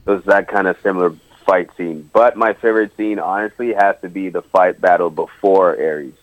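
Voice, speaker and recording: Co-host, male, lowish voice, earnest and eager, dull lo-fi dry sound. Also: fairly even intonation, moderate pace, dry recording. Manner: enthusiastic, earnest. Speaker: podcast co-host. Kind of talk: casual movie review chat.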